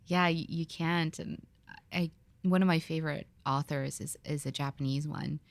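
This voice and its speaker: A woman from the Philippines hosting a podcast interview. She talks in a reflective, relaxed way, with fillers and restarts. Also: low voice, moderate pace, no room sound. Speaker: podcast host. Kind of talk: conversational interview.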